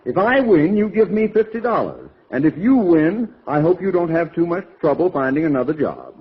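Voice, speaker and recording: An American actor playing a gloating, bossy employer in a 1940s radio comedy, blustery and needling. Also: male voice, on the high side, very animated, moderate pace. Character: gloating, bossy male boss and sore-loser golfer. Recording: dull, hissy old radio recording.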